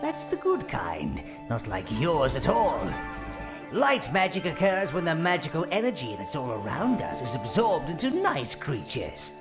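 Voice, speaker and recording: An actor playing a wizened, fawning, gleefully evil old minion advisor in a video game, creaky and theatrical. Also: male voice, a little high, wildly swooping pitch, measured pace, exaggerated voice. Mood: sneering. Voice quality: creaky, gravelly. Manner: obsequious, theatrical servant addressing his master. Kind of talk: video game cutscene acting. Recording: game music under the voice.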